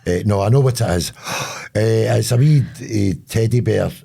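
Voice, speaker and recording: An older Scottish man chats in a low, gravelly voice with a husky edge. He cracks deadpan jokes in a mellow, dark tone. His pitch swings about with dry wit. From Scotland. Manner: dry, teasing, deadpan jokes with drawn-out "eh" fillers. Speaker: co-host. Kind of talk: casual comedic chat.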